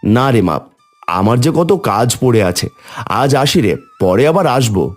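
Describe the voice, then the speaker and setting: A man playing an affectionate uncle in a cartoon story, speaking in a gentle, familial way. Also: mid-range voice, very animated, moderate pace, faint music behind.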